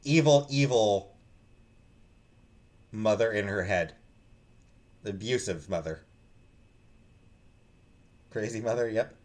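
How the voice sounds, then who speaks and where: A man's voice: fairly low pitch, slow, long pauses, darkish tone. A man streaming a visual novel, switching between casual chat with viewers and reading narration aloud.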